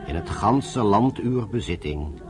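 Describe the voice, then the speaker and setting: A solemn reciter of scripture in a radio drama. He declaims gravely in a lowish voice, slow and swelling, with music behind. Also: expressive.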